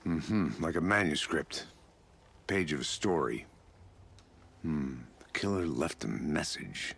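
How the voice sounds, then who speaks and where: An actor playing a dry, skeptical veteran FBI agent in a video game, gravelly and deadpan, sparing with words. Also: male voice, rumbling low, takes it slowly, heavily acted. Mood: skeptical, wry. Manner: deadpan, laconic. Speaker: detective partner character.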